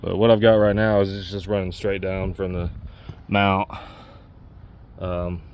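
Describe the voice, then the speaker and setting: Low-voiced man giving a casual hands-on walkthrough. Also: level tone, unhurried, clear, resonant tone, dull audio. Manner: plain-spoken, pointing things out as he works. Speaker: DIY vlogger demonstrating a truck CB radio install.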